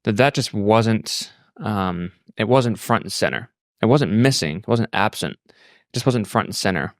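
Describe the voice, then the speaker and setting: A man in his thirties with an Australian accent, telling his personal story reflectively and haltingly, repeating words as he thinks. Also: fairly low pitch, lively intonation, no room sound, clean studio sound. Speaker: podcast guest.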